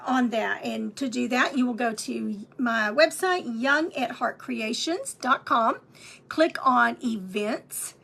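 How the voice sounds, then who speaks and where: A woman with a slightly raspy voice, chatting through a live painting lesson with many ums and restarts. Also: fairly high pitch, fairly bright tone. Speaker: painting instructor and shop owner.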